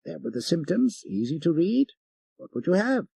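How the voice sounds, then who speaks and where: A man voicing a fervent French missionary priest telling his tale with emphatic, ironic pauses, as in a dramatic audiobook. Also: on the high side, sing-song, creaky, mellow, breathy edge, no echo, pitched above the performer's own voice, full character voice. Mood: bitterly ironic. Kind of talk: dramatic first-person storytelling in an audiobook.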